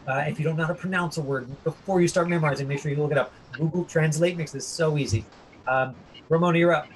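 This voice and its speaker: A man with a husky voice working as an acting coach, giving an informal online lesson in a halting, rambling way. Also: a little high, slight background noise, low-quality recording. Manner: halting, rambling explanations full of tangents. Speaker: acting coach teaching a class.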